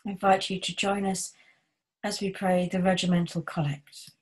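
A woman's voice: lowish voice, flat delivery, low-quality recording. Solemn woman leading worship. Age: middle-aged. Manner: solemn, measured. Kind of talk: formal liturgical reading.

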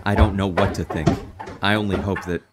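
A man playing a grave, protective young fiancé who narrates and acts in a horror radio drama, in measured speech. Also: low voice, steady tone, loud music behind the voice, lightly acted. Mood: concerned, urgent.

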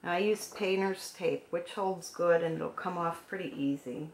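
A woman with a hoarse, froggy voice calmly talking through a craft painting tutorial at her work table. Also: fairly low pitch, moderate pace. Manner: calm, gentle instructional talk while working. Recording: echoey room with faint noise.

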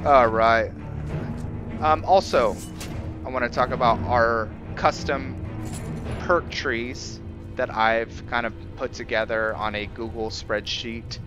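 A man giving casual gameplay commentary as host, thinking aloud in a halting way. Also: mid-range voice, fairly even intonation, highs cut off, music in the background. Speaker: gaming video host.

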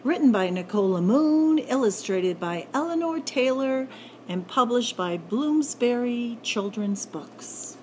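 A woman reading a picture book aloud to children in an animated, playful way, drawing out the sound words. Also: fairly high pitch, slow, a little hiss or hum. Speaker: library story time reader.

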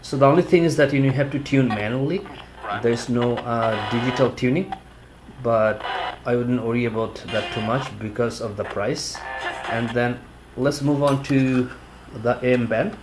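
A man giving a casual product review in his living room, talking in a halting, plainspoken way with many fillers, recorded on a camera set on a table. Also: medium pitch, over music, low-quality recording. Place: living room at home, indoors.

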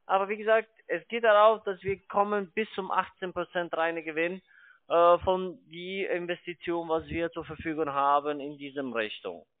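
Higher-voiced salesman on the phone. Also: fairly even intonation, normal speed, no echo. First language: not German. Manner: wordy, repetitive, stumbling phrasing. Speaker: call centre investment salesman. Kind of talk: sales pitch on a phone call. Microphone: telephone. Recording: thin, band-limited phone line, dry.

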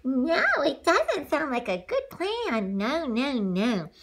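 A woman co-reading a children's storybook, with excitable, playful asides and repeated phrases. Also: sits high, slow pace. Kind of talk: animated read-along storytelling with playful asides.

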